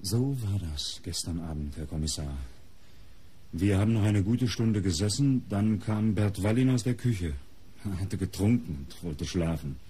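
A man's voice: low voice, normal speed, background noise, natural voice. An actor playing a wry male house guest in a German radio murder mystery, with a teasing way of talking. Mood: earnest. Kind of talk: German radio crime drama acting.

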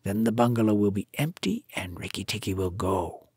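A narrator voicing a cold male cobra, deliberate and menacing, in a storybook. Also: sits low, expressive, darkish tone, slightly rough, dry recording, clean studio sound, pitched below the performer's own voice, exaggerated voice. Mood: cold resolve.

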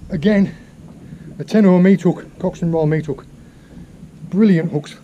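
A man's voice: high voice, measured pace, muffled recording. Young man fishing from a windy boat. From England. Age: young adult. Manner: chatty, excitable, explains as he works. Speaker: fishing vlogger. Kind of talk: casual commentary while fishing. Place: small open boat at sea. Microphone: action camera mounted on the boat. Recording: noisy outdoor camera audio at sea.

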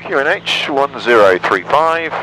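English pilot talking over engine noise. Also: male voice, mid-range voice, expressive, measured pace, sharp tone, low-quality recording. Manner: clipped, procedural phrases with upbeat asides. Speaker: pilot and vlogger. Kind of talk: in-flight commentary and radio calls. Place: small microlight cockpit in flight. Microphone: aviation headset. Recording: engine noise over aviation headset audio. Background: aircraft engine drone.

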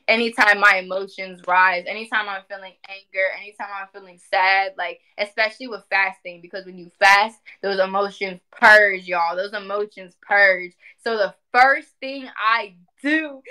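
Young woman chatting on a livestream, really bright forceful voice, says stuff twice to drive it home. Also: mid-range voice, normal speed, poor audio. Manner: animated, emphatic, repeats phrases for emphasis. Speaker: spiritual community speaker. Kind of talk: casual livestream talk. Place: indoor room at home.

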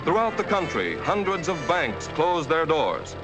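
A man narrating a 1940s newsreel in a brisk, declamatory announcer style on an old film soundtrack. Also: fairly high pitch, very animated. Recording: old film soundtrack under loud music.

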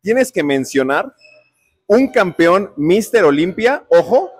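A man's voice: sits high, sing-song, slow pace, slight background noise. A man in his thirties with a Mexican accent, hosting a playful, teasing quiz with people in an indoor gym. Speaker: host.

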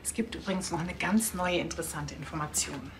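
Actress as matter-of-fact forensic pathologist, noisy room. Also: female voice, low-pitched, fairly quick, natural voice. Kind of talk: TV crime comedy acting. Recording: clear background noise and clicks.

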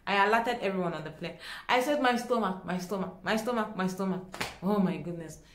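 A young woman with a Nigerian accent tells a story to camera in a dramatic, expressive way, acting out dialogue as she goes. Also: mid-range voice, brisk, a little hiss or hum. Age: young adult. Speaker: vlogger. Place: small indoor room at home. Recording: echoey small room.

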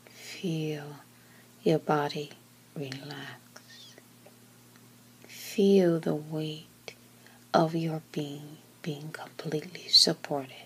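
A woman with a low, breathy voice guides a meditation at an extremely slow pace. She speaks gently and calmly, often close to a whisper, leaving long pauses between short phrases. A faint ambient noise sits behind her. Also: low-quality recording. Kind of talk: slow guided meditation, soothing scripted narration.

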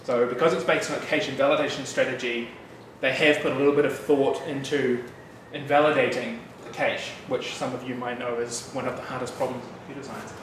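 A man in his thirties with a New Zealand accent, giving a casual, chatty developer talk at a meetup, relaxed with frequent fillers and asides. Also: medium pitch, fairly quick, hardly any pauses. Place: bright loft-like office room with an audience. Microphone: room mic, speaker standing away from it. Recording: distant, echoey room with background noise.

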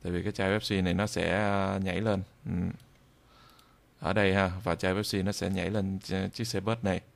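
A man with a southern Vietnamese accent walks viewers through software step by step. His voice sits low, with a gravelly creak in it. He speaks off the cuff, halting and repeating himself as he demonstrates. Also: flat delivery. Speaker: tutorial instructor. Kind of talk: screen-recorded software tutorial, improvised.

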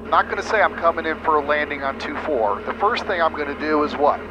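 A man's voice: fairly high pitch, fast, dull audio. A middle-aged American man giving hands-on flight instruction, explaining things step by step over a headset. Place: small airplane cockpit in flight. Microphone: aviation headset. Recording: headset audio with engine noise.

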